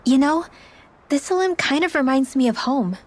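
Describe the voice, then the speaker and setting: A gentle young female companion from a game cutscene. Her voice is fairly high, soft and caring. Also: lively intonation, normal speed. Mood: wistful. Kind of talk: dubbed anime-style game voice acting.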